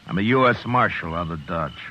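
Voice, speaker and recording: Low voiced tough western lawman, terse, muffled old radio drama sound. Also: lively intonation, a little fast, bright, forceful tone, a little hiss or hum. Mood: stern. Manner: terse, commanding. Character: tough, stern U.S. Marshal of the old West. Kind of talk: 1950s radio western acting and first-person narration.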